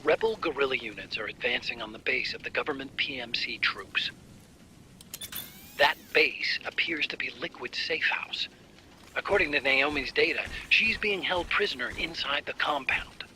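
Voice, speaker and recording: An actor playing a support operator giving a soldier a brisk, informative mission briefing. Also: male voice, medium pitch, bright, forceful tone, a little hiss or hum, poor audio. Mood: urgent.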